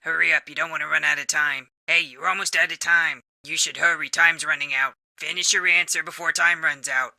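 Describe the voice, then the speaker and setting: A jaded talking office appliance in a comedy video game. The voice is fairly high for a male and extremely bright and edgy, with grudging, deadpan announcements. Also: brisk, gravelly, hardly any pauses, heavily acted. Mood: insistent. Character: jaded, grumpy male talking office machine, bored employee.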